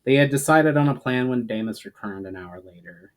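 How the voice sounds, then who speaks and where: A man's voice: medium pitch, animated, brisk. A man reading fantasy fiction aloud as a dramatic narrator, with earnest delivery and emphatic phrase openings. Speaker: author reading his fantasy novel aloud. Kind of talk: dramatic scripted story narration.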